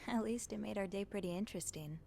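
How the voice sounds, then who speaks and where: An actress as an inquisitive, gently playful young woman. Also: mid-range voice, fast, gravelly, a little hiss or hum, natural voice. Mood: thoughtful. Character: inquisitive young female hotel maid, thoughtful and gently playful. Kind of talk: game voice acting.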